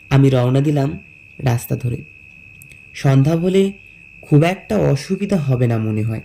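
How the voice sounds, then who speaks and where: Slow, creaky male storyteller. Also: a little high, warm tone, a little hiss or hum. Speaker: narrator and lead character. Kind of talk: scripted first-person story narration.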